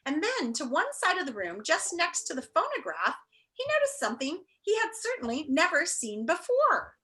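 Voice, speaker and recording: A woman reads a children's book aloud in a high, animated voice. She phrases it dramatically, stretching key words, and drops into casual asides. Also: normal speed. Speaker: read-aloud storyteller. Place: indoor room.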